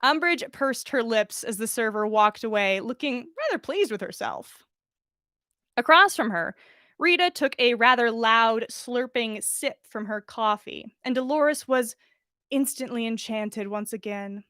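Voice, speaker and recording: A woman's voice: a little high, lively intonation, unhurried, bright, forceful tone, no echo, studio quality. A woman narrating fan fiction expressively as a podcast host reading a story aloud.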